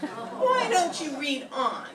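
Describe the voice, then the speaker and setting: A woman's voice: sits high, very animated, slow pace, far from the mic, heavily acted. An older woman playing Irma, a naive, ditzy young woman, in a staged 1940s radio comedy reading. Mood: airheaded. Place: college classroom stage. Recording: roomy hall, heard from afar, faint hiss.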